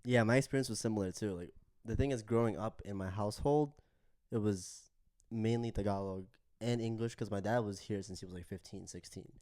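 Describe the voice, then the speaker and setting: A young man co-hosting a podcast, talking in a relaxed, thoughtful way. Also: on the low side, brisk. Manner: relaxed, thoughtful, many fillers. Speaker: podcast co-host. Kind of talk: casual chat.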